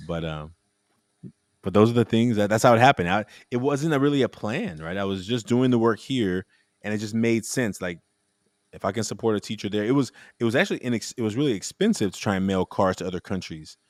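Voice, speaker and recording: A man's voice: on the low side, fairly quick, dry recording. Dry-sounding man telling a rambling story. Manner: rambling, restarts often, animated storytelling. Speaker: podcast host. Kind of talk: casual conversational interview.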